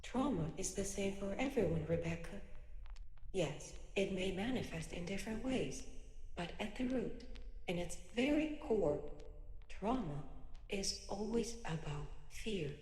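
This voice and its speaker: Mellow female voice, woman acting as calm computer-generated nurse, precise and formal, radio drama, very echoey. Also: medium pitch, unhurried, a little hiss or hum, natural voice. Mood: insistent, probing. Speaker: virtual nurse. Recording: echoey, long reverb.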